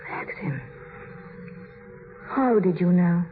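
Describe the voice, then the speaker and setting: A woman's voice: fairly low pitch, lively intonation, slow, slight background noise. A woman playing an anxious, devoted young wife in a 1950s radio drama, with an earnest, pleading delivery. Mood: anguished. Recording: narrow-band vintage radio recording with music.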